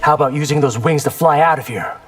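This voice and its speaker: A man's voice: on the high side, quick pace, a bit bright, soft background music. A man playing a young ninja warrior in a fighting game, wry, cocky and casual. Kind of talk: fighting game character acting.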